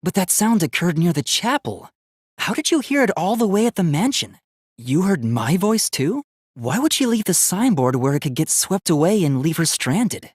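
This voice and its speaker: Earnest, expressive young male robot. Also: high voice, moderate pace, slightly rough, no echo, studio quality, heavily acted. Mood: analytical, serious. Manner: formal, precise, analytical. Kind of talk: English video game voice acting.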